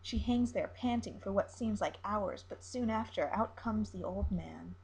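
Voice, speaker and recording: A woman reading a story aloud as narrator, in an expressive amateur storytelling style. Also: mid-range voice, unhurried, creaky, rough recording.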